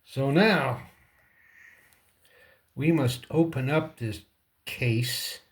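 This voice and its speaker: A man casually hosting a hands-on repair demonstration, talking in short, halting phrases as he thinks aloud. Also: mid-range voice. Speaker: repair tutorial host.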